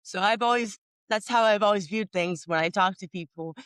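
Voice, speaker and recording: A woman's voice: mid-range voice, brisk, fairly bright tone, clear, resonant tone, no echo. Hesitant woman podcast host. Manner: hesitant, lots of "like" and restarts, laughs easily. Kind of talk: conversational interview.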